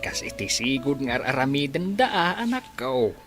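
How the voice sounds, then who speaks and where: A man's voice: medium pitch, big pitch swings, moderate pace, music in the background, close to an everyday voice. A man acting an easygoing old village husband and grandfather in an Ilocano radio drama. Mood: matter-of-fact.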